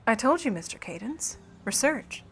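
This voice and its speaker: A woman's voice: on the high side, very animated, normal speed, a bit bright, breathy edge, heavily acted. A woman as a cool, haughty scheming starlet. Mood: smugly composed. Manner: haughty, controlled, cutting formal delivery. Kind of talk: old-time noir radio play acting.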